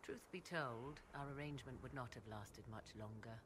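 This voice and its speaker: An actress playing a proud, enigmatic sorceress in a video game, speaking in theatrical, archaic and formal phrases. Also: female voice, a bass voice, moderate pace, background noise. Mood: wry, reflective. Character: proud, enigmatic apostate sorceress, arcane advisor. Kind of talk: video game dialogue acting.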